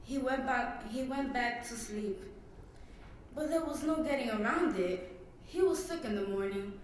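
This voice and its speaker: A teenage girl gives a dramatic narration from literature in a fairly high voice. She is far from the microphone, and the hall echoes heavily. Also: a little slow. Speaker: student narrator. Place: public reading in a hall. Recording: very echoey hall, distant mic, faint noise.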